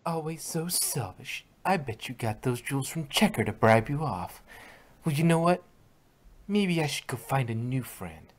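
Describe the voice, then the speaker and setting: A woman's voice: rumbling low, animated, moderate pace. A woman voicing a cold, accusing unicorn mare. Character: stern young purple unicorn mare, accusing.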